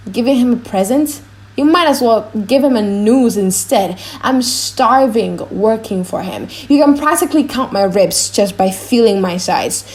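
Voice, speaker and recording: Woman as a high-voiced cocky young servant. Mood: exasperated. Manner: teasing, mock-grand. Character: mischievous, cocky, chatty young servant man. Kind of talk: dramatized audiobook reading.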